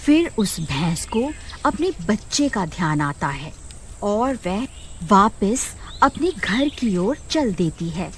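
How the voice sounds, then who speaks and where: A woman's voice: medium pitch, big pitch swings, slow pace, a little hiss or hum. A woman narrating a scripted moral story as a storyteller.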